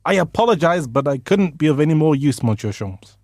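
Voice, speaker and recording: A man puts on a French male baker in a comedic radio drama. He speaks with a French accent, polite and hesitant, apologising evasively. The pitch is raised and the recording dry. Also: expressive, a little fast, darkish tone, no room sound.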